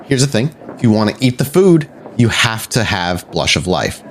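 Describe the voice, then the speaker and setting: A man's voice: fairly low pitch, sing-song, normal speed, a little hiss or hum. A man running a tabletop roleplay game, casually asking questions and explaining rules to players. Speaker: game master.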